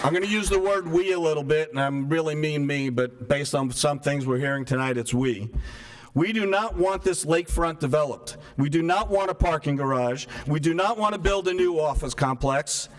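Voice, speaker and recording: A man with a soft, somewhat high voice addresses a town council from the floor. He speaks earnestly and stacks one rhetorical question on another. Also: normal speed. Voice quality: soft-spoken. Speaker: resident speaking at public comment. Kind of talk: public comment at a town meeting. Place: town hall meeting room. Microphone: microphone stopped working partway.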